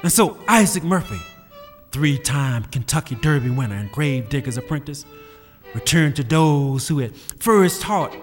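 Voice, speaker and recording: A man narrating a radio drama in a solemn, measured way. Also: a little high, lively intonation, in a large hall, soft background music.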